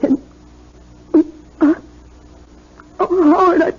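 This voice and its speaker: An American woman playing a fragile, anguished young wife who doubts her sanity, halting and breathy, in a dull 1970s radio drama recording. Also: extremely high pitch, steady tone, heavily acted. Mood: anguished, despairing.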